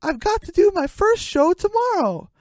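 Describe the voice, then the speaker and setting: Casting: excitable young prankster chicken for radio comedy, squeaky high and animated. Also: female voice, normal speed, no echo. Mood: giggly, excited. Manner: excitable, giggly. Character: giggly, mischievous young chicken prankster turned fake newscaster.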